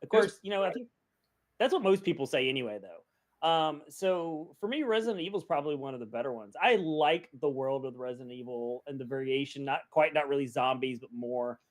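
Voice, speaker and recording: A man's voice: mid-range voice, lively intonation, brisk. A man on a podcast panel, chatting casually and thinking aloud in a rambling way. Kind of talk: casual chat.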